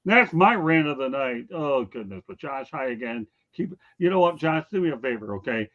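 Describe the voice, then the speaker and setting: Blunt sports host, slightly muffled audio. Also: male voice, mid-range voice, sing-song, moderate pace, fairly bright tone. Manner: blunt, teasing, addresses chat viewers by name. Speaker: sports talk show host. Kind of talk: casual sports chat and ranting.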